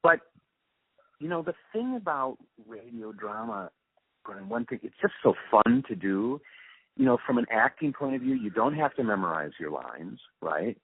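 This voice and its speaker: A man's voice: medium pitch, huge pitch swings, normal speed, gravelly, husky, no room sound. An older-sounding man reminiscing and explaining his craft in a casual, conversational interview over the phone. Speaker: interview guest, radio drama producer. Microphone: phone call. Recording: tinny narrowband phone line.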